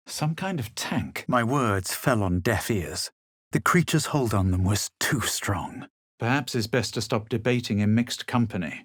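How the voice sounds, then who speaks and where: An actor playing a haughty, coolly superior fantasy adventurer in a video game, with a husky voice and deliberate delivery. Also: male voice, fairly low pitch, dry recording, studio quality, heavily acted. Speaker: video game player character. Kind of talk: scripted game voice lines, narration and remarks.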